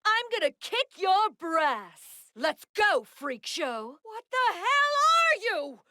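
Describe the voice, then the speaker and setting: Bright, haughty royal fighter. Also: female voice, very high-pitched, very animated, slow, no echo, studio quality, heavily acted. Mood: haughty, taunting. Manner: imperious, taunting. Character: haughty, brash royal fighter woman, sneering and short-tempered. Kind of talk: video game character battle barks.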